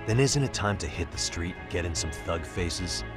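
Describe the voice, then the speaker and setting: An actor playing a serious, pushy, determined detective in an English video game dub. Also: male voice, deep voice, animated, normal speed, over music. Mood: insistent. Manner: serious, pressing. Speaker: private detective character.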